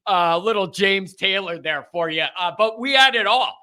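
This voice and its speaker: Man, strained voice, chatty sports host, high pitch, very bright sharp tone. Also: normal speed. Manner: energetic, chatty, loud openers. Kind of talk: casual sports talk.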